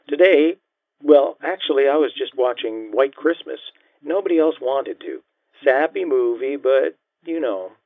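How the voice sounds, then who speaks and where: A man's voice: mid-range voice, no room sound, pitched above the performer's own voice. Man voicing a hesitant woman on a tinny phone. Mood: unsure, polite. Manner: halting, unsure, gently polite. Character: hesitant, polite estranged wife on the phone. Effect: telephone filter. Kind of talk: audiobook character dialogue. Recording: thin, band-limited phone-line sound.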